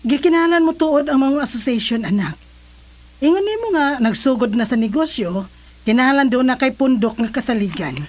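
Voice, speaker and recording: Gentle mother of a grown son, husky, fairly high voice, Cebuano Filipino accent, radio drama. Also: expressive, fairly quick, no room sound. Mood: curious.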